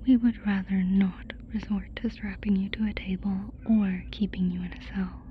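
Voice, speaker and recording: A woman playing a curious, doting alien fascinated by humans in an ASMR roleplay, whispering softly and breathily in a casual, affectionate way. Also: mid-range voice, flat delivery, normal speed, narrow, tinny audio, slight background noise, close to an everyday voice. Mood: coaxing.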